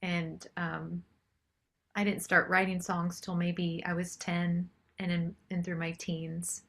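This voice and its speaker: A woman's voice: fairly low pitch, level tone, slow, a bit bright. A woman chatting casually as a singer-songwriter guest in an interview.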